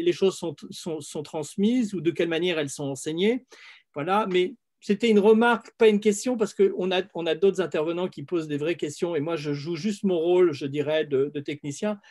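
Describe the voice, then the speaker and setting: Man, moderator, video call, polite, stammering restarts, somewhat high voice. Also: a little fast, a little mellow. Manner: polite, hesitant, repeats words. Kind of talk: online panel moderation.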